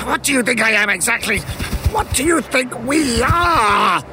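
A man's voice: very high voice, lively intonation, a little slow, pushed and bright, a little hiss or hum, exaggerated voice. A man voicing an indignant, desperate trapped crow in an old-time radio style audio drama.

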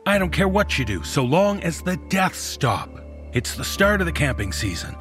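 A man's voice: on the high side, sing-song, normal speed, a bit bright, soft background music. A man playing a blunt, confrontational small-town sheriff in a horror audio drama, folksy and accusing in the way he talks. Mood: threatening.

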